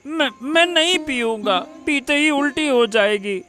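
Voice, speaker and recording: Man playing a very high-pitched sick little boy. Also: lively intonation, pushed and bright, faint music behind, heavily acted. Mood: weakly stubborn. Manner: pleading, halting repetitions. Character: sick, feverish young boy, plaintive and whining. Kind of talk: Hindi cartoon story dialogue.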